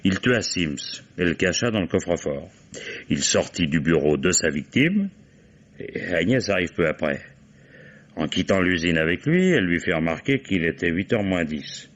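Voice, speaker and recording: An actor playing a weary, shrewd and commanding police commissioner in a vintage French radio mystery, deliberate and musing. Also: male voice, sits low, sing-song. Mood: reflective, explaining. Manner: deliberate questioning with musing interjections. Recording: dull vintage radio drama recording.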